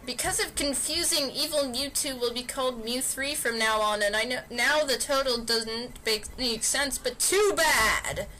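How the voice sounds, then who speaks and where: High, bright-voiced woman reading aloud. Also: measured pace, hardly any pauses, soft background music, poor audio. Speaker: narrator. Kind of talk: amateur dramatic reading of fan fiction. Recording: steady computer fan noise.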